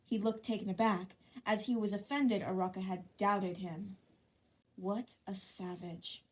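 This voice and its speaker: A woman narrating a fan-fiction story in an expressive reading style. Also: mid-range voice, unhurried.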